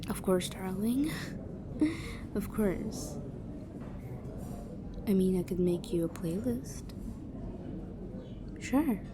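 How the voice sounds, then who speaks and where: Slow, teasing goth girl over noisy ambience woman acting. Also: medium pitch, expressive. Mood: warm, casual. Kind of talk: intimate roleplay audio addressed to the listener. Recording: clearly noisy background ambience.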